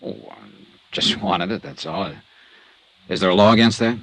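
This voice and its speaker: An American actor playing a cool, guarded freelance investigator in a 1950s radio drama, clipped and understated. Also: male voice, low voice, normal speed, a bit bright, a little hiss or hum. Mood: evasive, casual. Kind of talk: 1950s radio detective acting with first-person narration.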